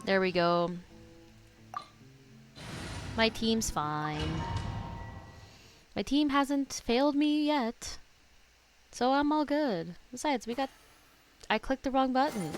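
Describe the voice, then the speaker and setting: A woman streamer giving casual, slangy game commentary, often talking to herself as she plays. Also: fairly high pitch. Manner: informal, slangy, self-talking. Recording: faint game music behind.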